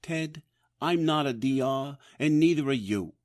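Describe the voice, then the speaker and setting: A man's voice: fairly high pitch, a little slow, natural voice. An actor playing a blunt, no-nonsense older man, a loyal prodding friend in an audio drama. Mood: blunt, urging.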